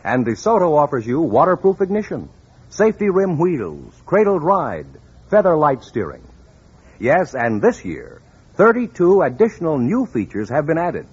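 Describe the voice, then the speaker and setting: A man's voice: on the high side, big pitch swings, moderate pace, a little mellow, slightly rough. A man reading a scripted 1950s radio commercial as an announcer, with emphatic salesman delivery. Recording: muffled old radio recording.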